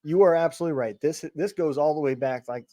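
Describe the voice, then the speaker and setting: Male interviewer for casual podcast. Thoughtful, halting, circles into questions; dry, intimate recording. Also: a little high, dark tone, no room sound. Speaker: podcast host.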